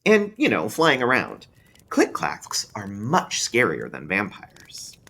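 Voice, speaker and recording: A man's voice: lowish voice, wildly swooping pitch, creaky, bright, forceful tone, heavily acted. A man voicing a precocious, serious young boy in an eerie storybook, earnest and thoughtful, a bit scared. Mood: matter-of-fact. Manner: earnest, matter-of-fact, pausing thoughtfully. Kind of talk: read-aloud eerie storybook dialogue.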